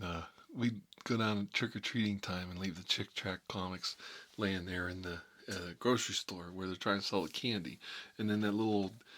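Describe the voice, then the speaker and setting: A man's voice: on the low side, fairly bright tone. A man playing a nostalgic, folksy mob boss, telling rambling stories in a casual ASMR style. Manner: rambling, folksy, frequent "you know". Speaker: host of a character story series.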